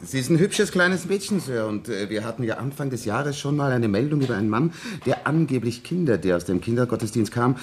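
Actor as an earnest constable, faint noise behind. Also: male voice, mid-range voice, expressive, fairly quick, few pauses, a little mellow, lightly acted. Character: earnest, deferential male police constable. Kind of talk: 1970s German radio crime drama acting.

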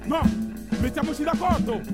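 A man narrating for the stage in declamatory, deliberate short phrases, with a theatrical storytelling delivery that edges into song. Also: very high voice, lively intonation. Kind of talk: theatrical storytelling, half-sung at times. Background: live band music with drums and strings.

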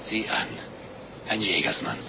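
An actor playing a fat, jolly, gluttonous middle-aged monk with an unctuous, excitable manner in a 1950s radio play. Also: male voice, unhurried, background noise, close to an everyday voice. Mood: hungry, musing. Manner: unctuous, food-loving, excitable. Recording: dull vintage radio recording with music.

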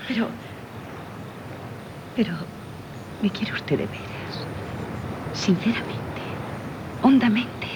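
An actress with a Castilian accent playing a yearning, emotional young stepdaughter in theatrical 1970s radio style. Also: female voice, fairly high pitch, takes it slowly, long pauses. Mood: astonished, moved. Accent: Castilian Spanish. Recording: old radio broadcast, background noise.

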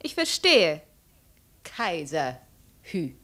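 An actress in a 1950s German radio drama playing a proud, shrewd, cold young princess, probing and ironic. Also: female voice, lowish voice, wildly swooping pitch, drawn-out words, a bit bright, full character voice. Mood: probing, suspicious.

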